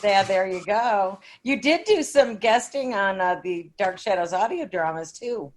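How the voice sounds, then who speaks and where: A woman's voice: medium pitch, unhurried. A woman co-hosting a podcast interview, chatting casually with the guest. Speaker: podcast co-host. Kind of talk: casual chat.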